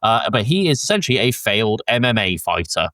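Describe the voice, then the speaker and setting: A man's voice: lowish voice, big pitch swings, a little fast, fairly bright tone, no room sound, studio quality. A man hosting a podcast with casual film discussion while a bit sick. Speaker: podcast host.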